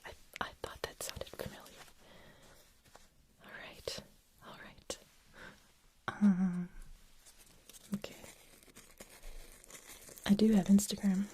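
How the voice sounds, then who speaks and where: Young female ASMR streamer, soft whisper, unhurried, reading chat between triggers. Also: medium pitch. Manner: soft whispering, chatting with viewers while making trigger sounds. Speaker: ASMR livestream host.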